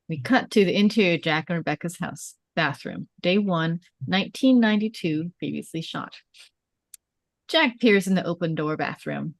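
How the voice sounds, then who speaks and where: A woman reading screenplay stage directions in a measured way, as scripted narration at a table read. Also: lowish voice, no echo.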